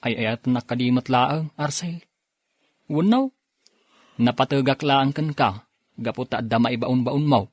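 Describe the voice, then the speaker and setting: A man's voice: mid-range voice, a little slow, pauses often, no room sound, clean studio sound, close to an everyday voice. A man acting an easygoing, apologetic husband with an Ilocano accent, wheedling in an amateur audio drama. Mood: tender. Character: easygoing, apologetic husband who drinks, pleading and teasing.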